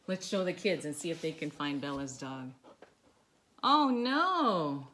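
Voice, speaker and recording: Animated, bright-voiced middle-aged woman reading storytime. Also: low voice, a little slow. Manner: animated, sing-song, coaxing with questions. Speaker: therapy dog owner reading a storybook aloud. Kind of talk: children's read-aloud, talking to a dog and young viewers. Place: living room by a stone fireplace, indoors. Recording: clearly noisy home recording.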